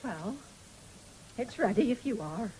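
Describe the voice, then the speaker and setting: A woman's voice: fairly high pitch, animated, a little slow. An actress playing a proud, stubborn ageing farm woman in a radio drama, speaking in a brusque, defiant way. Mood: curt, guarded. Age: older. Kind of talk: 1970s British radio drama acting. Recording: faintly hissy old radio broadcast.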